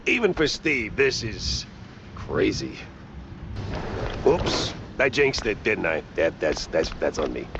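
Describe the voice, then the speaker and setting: A weary wisecracking noir mouse detective for a game, gravelly, swingy delivery, muffled sound. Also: male voice, fairly low pitch, very animated, takes it slowly, background noise, full character voice. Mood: sardonic. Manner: sardonic, muttering asides in street slang. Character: world-weary wisecracking mouse private detective, gruff man. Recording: muffled band-limited game audio under loud music.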